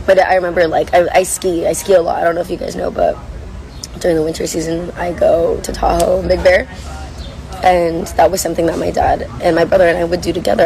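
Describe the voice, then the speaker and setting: A young woman with a hoarse, worn voice chats casually while reading viewer comments on a livestream from a coffee shop. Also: fairly low pitch, darkish tone, low-quality recording. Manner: chatty, rambling, reads comments aloud. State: hoarse, lost voice. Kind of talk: casual chat.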